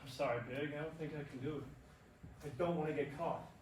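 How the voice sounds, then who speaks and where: A man's voice: fairly high pitch, fairly even intonation, fast talker, natural voice. A man on stage playing a young rookie ballplayer, eager and naive but hesitant, answering earnestly in short lines. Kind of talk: staged reading of a play, theatrical dialogue. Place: indoor performance space, recorded from afar. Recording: roomy distant stage recording, background noise.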